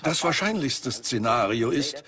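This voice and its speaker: An earnest male scientist, played by an actor, explains in a measured documentary manner. His pitch is mid-range, and other voices murmur behind him. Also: expressive, a crowd in the background, close to an everyday voice. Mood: earnest, explanatory. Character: earnest male scientist warning of cosmic threats. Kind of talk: dubbed documentary expert explanation.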